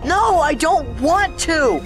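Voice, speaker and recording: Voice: extremely high pitch, lively intonation, takes it slowly, full character voice. An actor playing a frightened, tormented young boy in an audio drama, with a distressed, pleading delivery. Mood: panicked. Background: loud background music.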